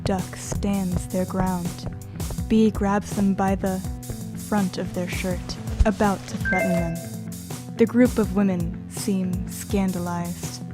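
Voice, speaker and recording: A woman playing a calm, formal artificial guide who narrates a simulation in an audio drama, measured and composed. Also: medium pitch, music in the background, lightly acted. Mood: composed narration.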